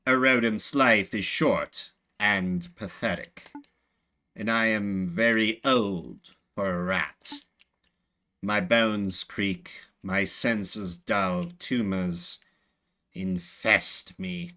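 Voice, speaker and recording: A man's voice: lowish voice, bright, forceful tone, pitched below the performer's own voice. A man voicing a very old, dying rat plague master in a video game, grave, scholarly and formal in manner. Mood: weary, resigned. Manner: slow, solemn, formal. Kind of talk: voiced story video game dialogue.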